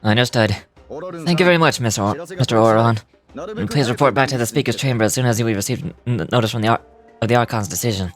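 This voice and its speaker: A woman voicing an earnest, very polite young boy in a video game, eager to be cool and a little halting. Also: very deep voice, animated, music in the background, pitched below the performer's own voice.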